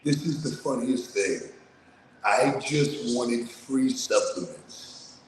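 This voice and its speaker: A man with a low voice telling his story in a casual, streetwise, blunt way, swearing freely, as an interview guest. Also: expressive, takes it slowly, heavy reverb, long reverb tail, slight background noise, poor audio. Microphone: remote video call.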